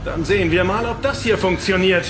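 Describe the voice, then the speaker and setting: A man's voice: sits high, brisk, loud music behind the voice. An actor playing a hostile, commanding gunman who gives curt orders in a sci-fi audio drama. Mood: tense aggression.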